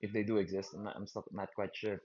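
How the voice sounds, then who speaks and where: A Filipino-accented man talks on in a low-pitched, meandering monologue, stumbling over and repeating his words as he goes. The sound is dull and lo-fi. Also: little pitch movement, darkish tone. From Philippines. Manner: halting, repeats words, self-deprecating. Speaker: host. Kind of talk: rambling stream-of-consciousness monologue. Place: outdoors in a park.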